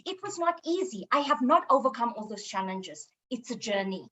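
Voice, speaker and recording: Young woman with a squeaky voice. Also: big pitch swings, moderate pace, sharp tone, slight background noise. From South Africa. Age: 20s. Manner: earnest and encouraging, with self-deprecating humor. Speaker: panelist. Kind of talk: panel discussion.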